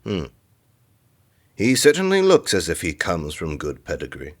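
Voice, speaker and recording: Skeptical king, low, expressive. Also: measured pace, full character voice. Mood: imperious. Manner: commanding, skeptical. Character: impatient king, grave and probing.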